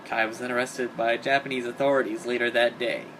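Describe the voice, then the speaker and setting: A man's voice: mid-range voice, level tone, brisk, a bit bright. A man giving a dramatic reading of comic fan fiction. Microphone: home microphone on an internet call. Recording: hobby voice-call recording, clear background noise.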